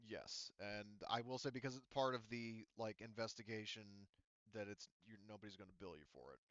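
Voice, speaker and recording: Clear, low-voiced male game master. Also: a little fast, a bit bright, no echo, muffled recording. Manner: hesitant, thinking aloud. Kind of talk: casual tabletop game narration and rules talk.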